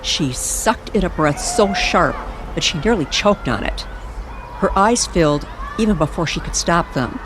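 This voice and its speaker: A woman narrating an eerie, nostalgic story as a storyteller, in a measured and dramatic manner. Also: on the low side, lively intonation, background noise. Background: music under the voice.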